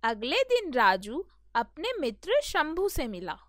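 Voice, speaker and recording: A woman's voice: high voice, wildly swooping pitch, slow pace, no room sound, studio quality. A woman narrating a scripted children's moral story as a storyteller.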